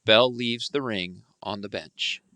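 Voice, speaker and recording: A man's voice: on the low side, a little slow, bright, forceful tone. A man giving scripted narration for a radio play.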